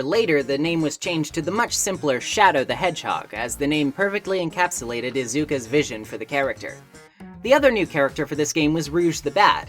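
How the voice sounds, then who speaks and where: A man's voice: on the high side, a bit bright. A man reading a scripted video essay in an articulate, wordy way, with wry asides. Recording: music behind narration.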